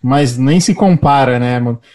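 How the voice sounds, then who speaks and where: Casual Brazilian man, medium-pitched voice. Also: moderate pace, a little mellow. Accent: Brazilian Portuguese. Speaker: interviewer, producer. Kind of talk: casual chat.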